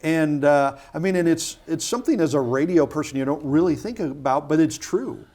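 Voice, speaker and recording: A man's voice: on the high side, fairly quick, a little mellow. A man hosting a local TV community interview show, friendly and chatty. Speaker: TV show host. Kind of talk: casual interview chat.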